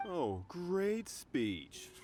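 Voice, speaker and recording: A man's voice: sits high, big pitch swings, a little mellow, faint music behind, full character voice. An actor playing a taunting, sarcastic male thug, a mocking bully in a video game. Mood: threatening. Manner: taunting, sarcastic, drawn-out jeers. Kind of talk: video game villain acting.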